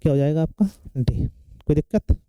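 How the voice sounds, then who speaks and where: Guy teaching exam prep, slightly high voice, really mellow, dry close sound, keeps checking you follow. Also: fairly quick, no room sound. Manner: explanatory, often checking in with short tag questions. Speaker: science teacher for competitive exam students. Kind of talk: classroom lecture working through exam questions.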